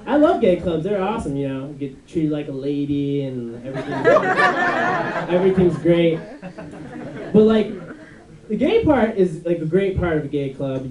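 A young man with a high, unmasculine voice doing a rambling stand-up set on a club stage, with many fillers and restarts. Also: noisy background. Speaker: stand-up comedian. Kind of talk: stand-up routine. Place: dark club stage before a live audience. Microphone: handheld mic on a stand. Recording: echoey room, mic from far off.

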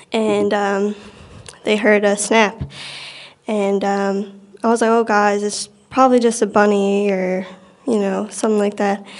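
A woman's voice: medium pitch, a little mellow. A teenage girl tells a personal ghost story in a casual, plain-spoken, slightly hesitant way. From Bell Island, Newfoundland, Canada. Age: teenager, about 14 to 15.

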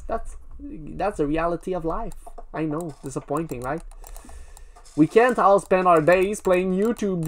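Rambling, playful man narrating gameplay. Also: a little high. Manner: rambling, playful, talks straight to viewers. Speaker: gaming video creator. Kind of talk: casual gameplay commentary.

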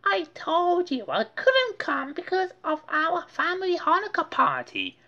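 A forthright young girl from a storybook, acted by a woman, speaks in a high voice. She sounds proud, firm and spirited. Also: wildly swooping pitch, measured pace, pushed and bright, muffled recording, full character voice. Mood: defensive. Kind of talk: storybook character voice.

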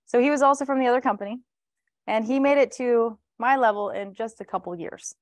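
A woman's voice: a little high, a little fast, no echo. A woman in her thirties hosting an event, introducing a colleague with casual, fond praise in front of an audience. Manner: warm praise, casual fillers. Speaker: event host. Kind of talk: introducing a speaker to a live audience. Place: event room with a stage.

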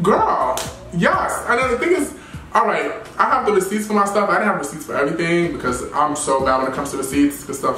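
A young man in his twenties telling a storytime vlog with animated, expressive delivery and sassy asides, filmed in a room by a camera a little way off. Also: on the high side, slight background noise. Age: 20s. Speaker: YouTuber. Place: indoor room with plain wall and plant. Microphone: camera at a distance, chest-up framing. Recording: roomy, somewhat distant sound.

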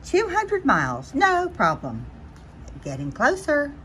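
Woman voicing an excitable, anxious little storybook butterfly. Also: high-pitched, slow, slight background noise, pitched above the performer's own voice, full character voice. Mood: indignant, discouraged. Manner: whiny, exclamatory, theatrical. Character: anxious, dramatic little male cartoon butterfly, easily panicked. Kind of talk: animated children's picture-book read-aloud.

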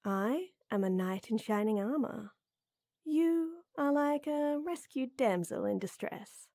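A woman playing a tall, tender, teasing lady knight in an intimate roleplay audio, coaxing softly. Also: a little high, expressive, takes it slowly, dry recording, clean studio sound, heavily acted. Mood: flirty, teasing. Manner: soft, teasing, coaxing, with breathy near-whispers.

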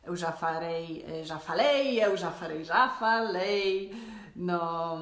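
A woman's voice: on the low side, fairly bright tone, roomy sound. A woman in her forties with a Polish accent, chatting to camera in her living room, often restarting phrases and laughing between them. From Poland. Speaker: vlogger. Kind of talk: casual chat to camera. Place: living room at home with fireplace.

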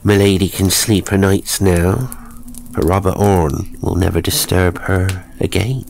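A man's voice: low-pitched, little pitch movement, measured pace, vocal fry, darkish tone, slight background noise, poor audio. A man voicing a grim cottage woman with a thin, pinched voice in a horror story. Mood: pleading. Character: grim, foreboding cottage woman, rumoured witch.

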